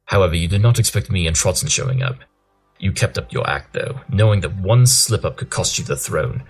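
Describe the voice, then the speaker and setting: A man's voice: sits low, expressive, a little fast, breathy edge, faint music behind, full character voice. A man playing a pompous pony detective in a cartoon audio drama, lecturing through his deductions. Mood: smug, accusing. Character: brilliant, smug male pony detective. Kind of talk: scripted audio drama acting.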